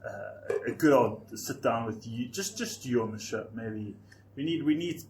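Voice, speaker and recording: A man's voice: medium pitch, expressive, unhurried, darkish tone, a little hiss or hum, low-quality recording. A man hosting a casual radio show, chatting with his guests in the studio. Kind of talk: casual chat.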